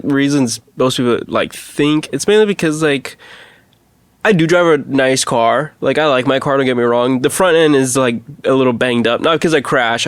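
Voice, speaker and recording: Man, medium pitch, casual podcast chat, rambling, self-deprecating, no room sound. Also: clear, resonant tone, no room sound. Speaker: podcast panelist.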